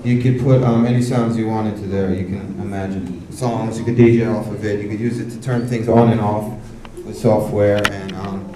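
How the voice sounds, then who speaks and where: A man, a music producer, explaining his equipment at a workshop in a relaxed, rambling way with many fillers. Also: fairly low pitch, normal speed, background noise. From United States. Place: workshop room at a cultural venue. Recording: roomy venue, mic from far off.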